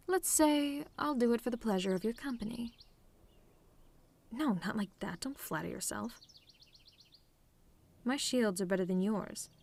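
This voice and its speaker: A woman playing a proud, sardonic telepathic villainess who is secretly soft, in a dramatic, teasing ASMR roleplay addressed to the listener. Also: on the high side, natural voice. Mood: grudging, brisk. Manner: haughty, dramatic, teasing, exasperated.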